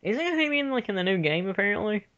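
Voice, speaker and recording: A man's voice: high voice, very animated, fast, a bit bright. A man co-hosting a casual fan commentary with crude, flippant, playful riffing, recorded on a dull lo-fi track. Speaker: co-host of a fan movie commentary. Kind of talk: casual joking banter.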